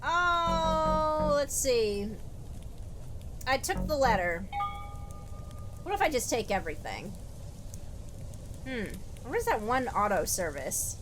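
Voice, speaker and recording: A woman's voice: high voice, big pitch swings, slow, long pauses, noisy background. A woman playing through a game with casual, wisecracking commentary, reading the text aloud, with music behind her. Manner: sardonic, wisecracking. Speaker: game streamer.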